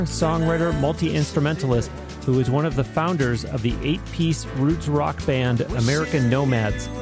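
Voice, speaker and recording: A man hosting a podcast interview in an articulate, orderly way, with prepared introductions and questions. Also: a little high. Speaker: podcast host.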